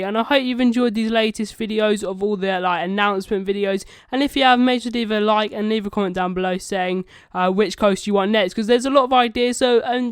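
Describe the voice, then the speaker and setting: A man's voice: high voice, smooth, dry recording, studio quality. A young man giving casual, enthusiastic, rambling commentary as the host of a video channel. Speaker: YouTube vlogger, channel host.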